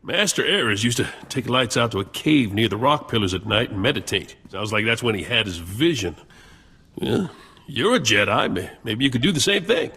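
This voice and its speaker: An actor playing a sickly, chatty, wry patient who helps a Jedi in a video game. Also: male voice, medium pitch, moderate pace, slightly rough. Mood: wry, musing. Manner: wry, animated, joking. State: frequent coughing fits. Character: sickly, wry, chatty male patient, Jedi's eager helper.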